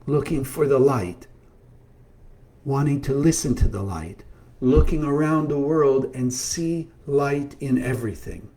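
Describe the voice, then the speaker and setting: A man giving a spiritual talk as a teacher, earnest and measured, slowly listing virtues. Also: fairly high pitch, darkish tone.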